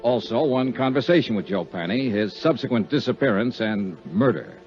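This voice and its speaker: A man's voice: lowish voice, lively intonation, normal speed, darkish tone. An actor playing a tough, dry male insurance investigator in a 1950s radio detective drama, both narrating and in dialogue. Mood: matter-of-fact narration. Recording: dull 1950s radio recording, music behind.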